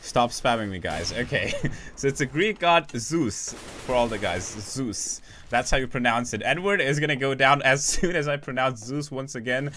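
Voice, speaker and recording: Male esports caster, solo play-by-play, bright and forceful tone, chatty and prone to restarts, banters with viewers. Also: mid-range voice, a little hiss or hum. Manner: rapid, chatty, self-interrupting, jokes with chat. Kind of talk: solo live game commentary.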